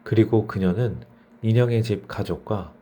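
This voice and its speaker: A man's voice: on the low side, moderate pace, warm tone. A man narrating a bedtime story for children as a storyteller.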